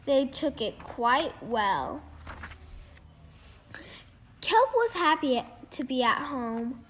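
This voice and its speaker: Female storybook reader, very high voice, slow and halting, rereads phrases as she goes. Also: fairly bright tone. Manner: halting, stumbles over words and rereads phrases. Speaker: reader of a children's picture book. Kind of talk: storybook read-aloud.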